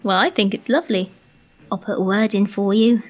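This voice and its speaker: A woman's voice: mid-range voice, fairly even intonation, a little fast, lightly acted. A woman acting in an amateur radio play as a kind, stoical care worker with a reassuring, familiar manner. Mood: gently teasing.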